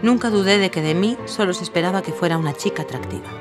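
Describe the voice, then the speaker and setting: An actress playing a witty, glamorous film-star inventor in a radio drama, with playful, theatrical delivery. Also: female voice, lowish voice, fast talker, over music, lightly acted. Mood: wry, reflective. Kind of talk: radio drama acting and first-person narration.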